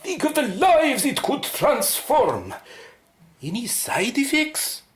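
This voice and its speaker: In an echoing room, a senior scientist played by a woman talks with slow, formal authority. Curiosity lifts the very expressive radio drama delivery. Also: high-pitched, exaggerated voice. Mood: excited, grand. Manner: measured, formal, deliberate. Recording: roomy, echoing sound.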